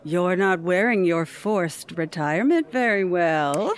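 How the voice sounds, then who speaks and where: An actress playing a smug, flamboyant, scheming office rival with a haughty, catty manner in an audio comedy. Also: female voice, on the low side, expressive, a little slow.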